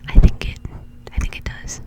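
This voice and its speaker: A woman doing whispered ASMR storytelling in an informal, conversational way, close to the microphone. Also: moderate pace. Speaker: ASMR video creator and host.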